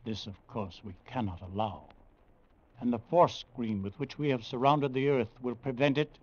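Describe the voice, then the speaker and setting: An actor playing a grave alien authority in an old radio drama, giving solemn, emphatic, deliberate warnings. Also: male voice, mid-range voice, darkish tone, natural voice. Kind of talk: 1950s radio science fiction drama acting. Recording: dull old radio recording.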